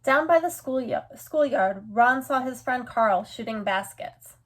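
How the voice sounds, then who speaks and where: A woman with a fairly high voice reads a picture book aloud for children. Her storytelling is expressive and deliberate, shaped for young listeners.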